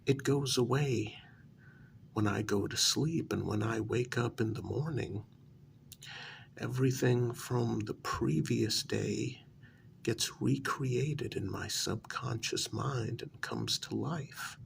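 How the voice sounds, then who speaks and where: Man acting as unhinged male asylum patient, ASMR role-play, very slow halting delivery, heavy vocal fry, soft half-whisper, condescending, matter-of-fact. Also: medium pitch, slight background noise. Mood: weary, incredulous. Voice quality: soft, often dropping into breathy half-whisper.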